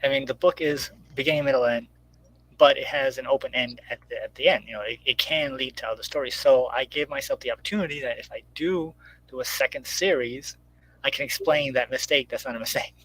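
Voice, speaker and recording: A man's voice: mid-range voice, brisk, a bit bright, slightly rough, poor audio. A man speaking casually as an interviewed writer, explaining step by step and acting out imagined remarks as he goes. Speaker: guest comic book writer.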